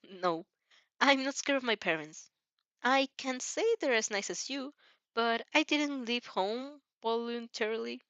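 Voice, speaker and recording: Woman playing an earnest young vampire girl, bright voice. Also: on the high side, a little slow, no echo, lo-fi sound, voice pushed up. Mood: determined.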